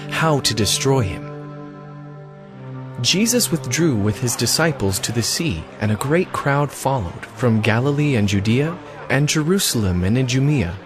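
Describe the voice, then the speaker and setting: An earnest man narrating scripture with a steady, deliberate delivery. His intonation moves animatedly up and down. Music is clearly audible behind him. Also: medium pitch.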